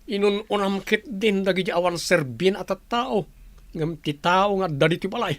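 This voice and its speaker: A man's voice: high voice, moderate pace, dry recording. A man acting a bossy, scornful employer in a Filipino radio drama, with a brusque, demanding manner.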